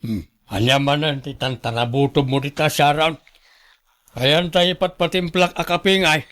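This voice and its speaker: A man's voice: fairly high pitch, steady tone, moderate pace, fairly bright tone, dry recording, natural voice. A man playing a mild-tempered middle-aged husband and father with an Ilocano accent in a radio drama, chiding and reasoning. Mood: mildly exasperated.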